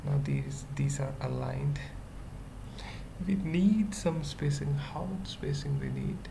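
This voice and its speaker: Slow, very creaky voice, coding tutorial, noisy. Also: mid-range voice, sing-song. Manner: thinks aloud in short, halting fragments. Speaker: web development tutorial presenter. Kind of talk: unscripted screencast coding tutorial. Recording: noisy mic, keyboard typing. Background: computer keyboard typing.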